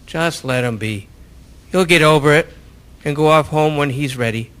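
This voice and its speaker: A man's voice: on the high side, moderate pace, fairly bright tone, clear, resonant tone, close to an everyday voice. A man playing an unbothered, dismissive drinking-house local in a historical radio drama.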